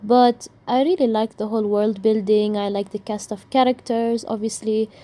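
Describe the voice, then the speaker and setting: A woman's voice: medium pitch, fairly even intonation, normal speed, warm tone. A young woman, a native Arabic speaker, ranting casually about books she read, with a rambling, sarcastic delivery and many restarts. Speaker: book vlogger. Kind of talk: casual book rant.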